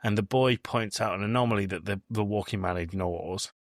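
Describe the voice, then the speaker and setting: A man's voice: on the low side, a little fast, dry recording, studio quality. A man hosting a podcast, recapping a film in casual discussion and often repeating words as he thinks. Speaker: podcast host.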